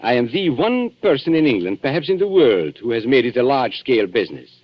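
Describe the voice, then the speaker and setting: Suave, smug blackmailer, lively old radio. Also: male voice, mid-range voice, quick pace. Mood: gloating. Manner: mock-courteous, theatrical, smug. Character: suave, cynical male antique dealer and gloating blackmailer. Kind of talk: 1940s radio thriller acting. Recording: muffled, noisy vintage 1940s radio recording.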